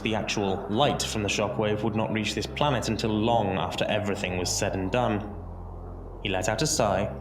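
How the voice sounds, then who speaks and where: A man narrating an audio drama as a scripted story, theatrical and deliberate, with dramatic pauses. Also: lowish voice, background noise. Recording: roomy echo under music. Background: music.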